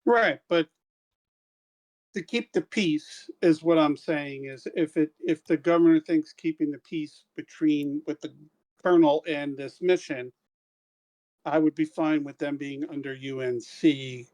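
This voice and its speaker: A male player in a tabletop game, speaking with hesitation and backtracking as he works out what he wants. His voice is a little higher than most men's. The delivery is casual and conversational. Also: a little slow, a little mellow. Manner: hesitant, restating his point.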